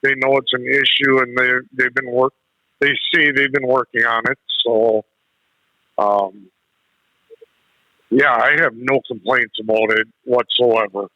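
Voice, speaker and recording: A man phones in to a radio show with a very sharp, bright voice. He speaks slowly and haltingly in a casual way, and the sound is dry and close. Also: medium pitch, fairly even intonation, dry recording, highs cut off. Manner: halting, hesitant phrasing with pauses. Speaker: radio show caller, truck driver.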